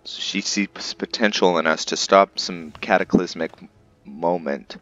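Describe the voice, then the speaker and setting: A man's voice: sits low, measured pace, a bit bright, highs cut off, soft background music. A man giving casual roleplay commentary on a game, musing aloud and hesitating as he thinks through his character. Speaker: gaming streamer and roleplayer.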